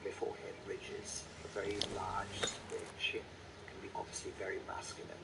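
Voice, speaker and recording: A man with a fairly low voice talks like a surgeon in a consultation. He explains and repeats points for emphasis over very loud noise. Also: completely flat delivery, normal speed, gravelly. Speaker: plastic surgeon. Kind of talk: professional consultation talk.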